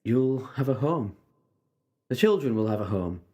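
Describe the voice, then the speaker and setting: A man's voice: mid-range voice, expressive, a little slow, dark tone, slightly rough. A man playing a kindly, assured time-travelling adventurer in an audio drama, reassuring and breezily understated. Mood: gentle, reassuring.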